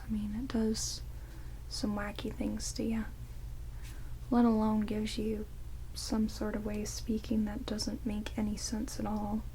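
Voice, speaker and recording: A woman playing an ancient, quirky vampire in an ASMR roleplay, speaking in halting, hushed whispers and soft murmurs. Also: a little high, slight background noise, poor audio. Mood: wistful, rambling. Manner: hushed, rambling, halting, drawn-out words. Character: ancient vampire, quirky, blunt yet caring, bandaging wounds. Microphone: close binaural microphones. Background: heavy rain.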